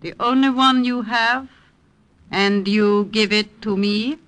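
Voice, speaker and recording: Slow, stern Dutch wife. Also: female voice, mid-range voice, fairly even intonation, a bit bright. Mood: guarded. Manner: clipped, formal, deliberate. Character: stern, suspicious Dutch wife, guarded and cold. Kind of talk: old-time radio drama acting. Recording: vintage radio drama recording.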